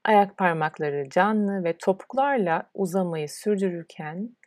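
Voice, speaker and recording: A woman leading a guided relaxation as a yoga nidra instructor, speaking in a soothing, unhurried way with pauses between instructions. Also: fairly low pitch, a little mellow, dry recording, clean studio sound.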